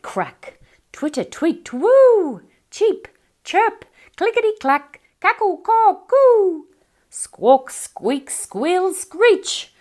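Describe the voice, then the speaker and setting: A woman's voice: very high voice, pitched above the performer's own voice, heavily acted. A woman voicing a proud, showy lyrebird. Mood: mimicking calls. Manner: proud, theatrical, mimics bird and animal calls. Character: proud young male lyrebird, eager show-off.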